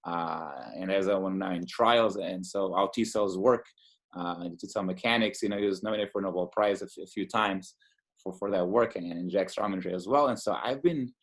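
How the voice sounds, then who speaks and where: Enthusiastic man from Portugal, low voice. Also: fairly quick. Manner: enthusiastic, many fillers and restarts. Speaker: immunologist guest. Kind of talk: casual interview talk.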